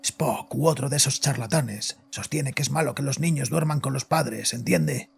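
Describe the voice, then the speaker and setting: An actor playing a guilt-ridden, frightened father confessing to his psychiatrist in a horror audio drama, agitated and halting. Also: mid-range voice, vocal fry, breathy edge, full character voice. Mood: grim, defensive. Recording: voice over background music.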